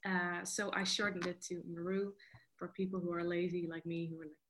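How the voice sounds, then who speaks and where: A woman's voice: fairly low pitch, flat delivery, a little fast, a bit bright. A woman, a vocal coach guest chatting loosely in an interview with many fillers. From Netherlands. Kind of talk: casual interview chat.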